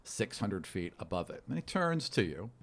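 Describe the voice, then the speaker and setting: A man working as game master, narrating a tabletop game in a casual, halting, descriptive way. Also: on the low side, big pitch swings. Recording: faint background noise.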